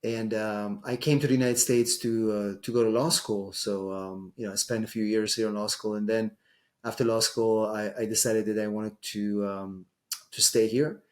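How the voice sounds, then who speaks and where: Guest interviewee: middle-aged man, Israeli accent, lower pitch, halting unscripted delivery. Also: fairly even intonation, darkish tone. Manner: hesitant, many fillers and restarts. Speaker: immigration attorney, guest. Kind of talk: casual interview conversation.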